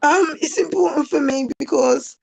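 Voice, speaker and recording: A woman guest with a slightly croaky voice, chatting casually over a video call and laughing easily. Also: high-pitched, normal speed, darkish tone. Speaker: interview guest.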